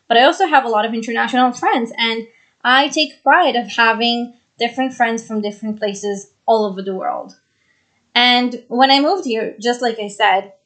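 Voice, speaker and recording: A woman's voice: fairly high pitch, a bit bright. A woman in her twenties from Brazil, raised in Israel, hosting a solo podcast in an earnest, chatty way and repeating phrases for emphasis. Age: 20s. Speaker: podcast host.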